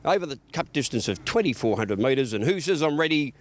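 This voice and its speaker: A man's voice: mid-range voice, very animated, brisk. An Australian man narrating a scripted horse racing report as a sports reporter.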